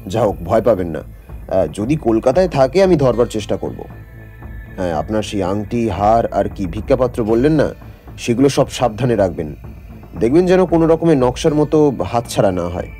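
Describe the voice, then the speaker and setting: Casting: a blustering, familiar older-uncle investigator in radio drama; very varied pitch, faint music behind. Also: male voice, very animated, moderate pace. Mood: reassuring. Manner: loud greetings, ponderous musing. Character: hearty, blustering middle-aged detective, familiar and self-assured. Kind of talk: Bengali radio drama acting.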